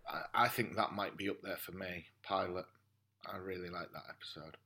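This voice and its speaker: A man's voice: sits low, steady tone, normal speed, pushed and bright. A man chatting casually as a guest on a podcast. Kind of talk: casual chat.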